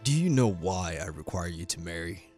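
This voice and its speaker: A man playing a stern, dignified king and demanding father in a fantasy audio drama, speaking formally with firm, quiet authority. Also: low voice, animated, normal speed, faint music behind. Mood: firm, measured.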